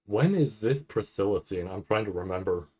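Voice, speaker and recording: A man with a lowish voice talks through footage in an informal, unscripted way. He thinks aloud as he goes, halting and repeating words while he works out what he is looking at. The delivery is that of a casual streamer's commentary. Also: lively intonation, mellow, slightly rough. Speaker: anime reaction streamer. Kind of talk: casual off-the-cuff trailer breakdown commentary.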